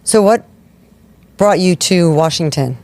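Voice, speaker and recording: A woman's voice: fairly low pitch, lively intonation, measured pace, darkish tone. A woman in her forties hosting a podcast interview, effusive and enthusiastic, affirming her guest often. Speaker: podcast host. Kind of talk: interview conversation.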